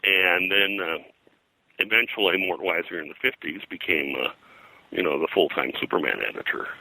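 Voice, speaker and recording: Older male historian as interview guest: very low pitch, hard-edged bright tone, halting, detail-heavy recollection. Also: no echo, poor audio. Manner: halting, discursive, scholarly detail. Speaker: guest, comics and pulp fiction historian. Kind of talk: interview conversation, recounting history.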